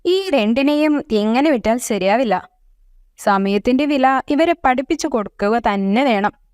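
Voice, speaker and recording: A woman's voice: fairly high pitch, expressive, a little slow, darkish tone, smooth, no echo, studio quality. A woman voicing a grumpy, impatient owl in a children's story, complaining emphatically. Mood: plotting.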